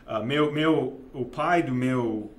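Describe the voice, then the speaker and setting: A man's voice: medium pitch, slow. A man in his forties from Texas, speaking Portuguese with a Texan American accent, hesitant, with many fillers and restarts. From United States, grew up in Dallas. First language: English. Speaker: guest, investment manager. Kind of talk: casual interview.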